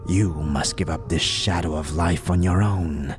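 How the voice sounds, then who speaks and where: A man playing a frail, weary old scribe in a fantasy audio drama, speaking solemn archaic lines with a breathy, wheezing, whispery rasp. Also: low-pitched, fairly even intonation. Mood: solemn, protective. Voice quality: breathy, wheezing, rattling with whispered trailing phrases. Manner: slow, solemn, archaic formal speech. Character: frail, weary, ancient undead-order scribe, solemn and contemptuous. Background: music behind the voice.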